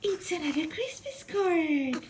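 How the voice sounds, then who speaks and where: A young woman, a pet channel host reading holiday mail aloud at home, speaking gently with soft laughs and cooing at her dogs. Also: extremely high pitch, sing-song, a little slow, slight background noise, low-quality recording. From Estonia. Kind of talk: casual vlog narration reading mail aloud. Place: home room with bed, off camera.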